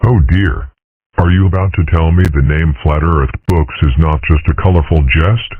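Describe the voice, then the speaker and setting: A man voicing a calm, philosophical cartoon father in a comic strip dub, speaking in a measured, formal, professorial way with a husky voice. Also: very deep voice. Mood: mildly wary. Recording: muffled phone-like lo-fi recording.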